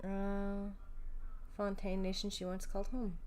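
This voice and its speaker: A woman game streamer with a mid-range voice. She comments casually as she plays, in an informal, animated and joking way. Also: mid-range voice, unhurried, slight background noise. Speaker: livestreamer, vtuber.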